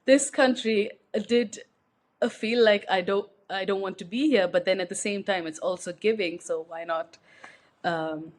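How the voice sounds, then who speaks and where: A woman's voice: mid-range voice, a little slow. A young woman from India, speaking as an interview guest in a reflective, hesitant way with frequent fillers and restarts. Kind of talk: personal interview conversation.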